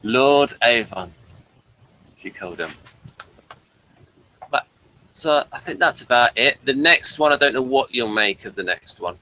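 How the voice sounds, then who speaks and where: Male review host, mid-pitched, informal and unscripted, feels his way haltingly through each thought. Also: lively intonation, sharp tone, poor audio. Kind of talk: casual unscripted review chat.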